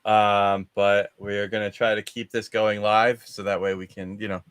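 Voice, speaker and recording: A man's voice: lowish voice, level tone, normal speed, fairly bright tone, clean tone, no echo, studio quality. A man hosting a movie discussion show on a video call, chatting casually. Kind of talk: casual chat.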